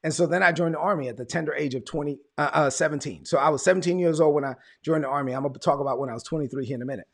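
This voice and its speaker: American man preaching his testimony, higher-pitched voice. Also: racing, vocal fry, hardly any pauses. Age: middle-aged. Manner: animated storytelling, acts out quoted dialogue, conversational. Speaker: preacher giving personal testimony. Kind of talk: informal sermon, personal testimony.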